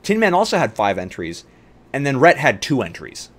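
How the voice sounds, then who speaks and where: Animated man chatting on a game livestream. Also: mid-range voice, moderate pace. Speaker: livestream host. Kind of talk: casual game-stream chat. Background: music.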